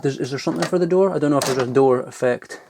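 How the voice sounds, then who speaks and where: A man's voice: mid-range voice, rapid-fire, noisy background. A young man giving a casual, sweary, enthusiastic show-and-tell in an informal vlogging style. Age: young adult. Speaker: vlogger showing old toys. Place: loft at home, indoors.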